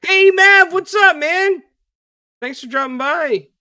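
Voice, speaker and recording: Bright, upbeat podcast host guy. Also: extremely high pitch, takes it slowly, no echo, studio quality. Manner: upbeat, shouts out viewers. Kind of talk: casual gaming podcast chat.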